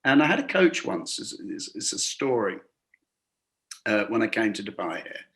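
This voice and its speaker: A man's voice: fairly low pitch, sing-song, normal speed, fairly bright tone. A man as an interview guest, a hospitality business coach, telling workplace stories in a rambling conversational way, with many restarts and acted-out quotes. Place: lives and works in a Gulf city.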